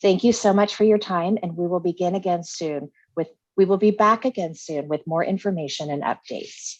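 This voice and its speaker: A woman giving public comment, reading a prepared statement in a steady, formal way. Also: on the low side, moderate pace, dry recording, muffled recording.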